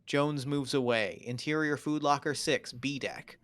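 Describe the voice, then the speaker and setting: Male narrator, medium pitch, reads terse screenplay directions for a table read. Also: unhurried, a bit bright.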